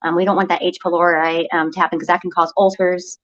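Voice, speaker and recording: A woman speaking as a health coach guest, animated and often restarting her sentences. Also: fairly low pitch, quick pace, highs cut off. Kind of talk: casual health talk show chat.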